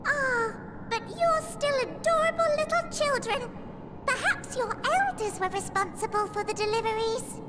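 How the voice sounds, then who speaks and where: Tiny rabbit-like moon keeper for a fantasy game: extremely high, chirpy, pompous and wordy, over loud music. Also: female voice, expressive, normal speed. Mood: proud, cheerful. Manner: theatrical, wordy, archaic phrasing. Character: chirpy, long-winded, self-important little rabbit-like moon keeper. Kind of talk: scripted video game dialogue.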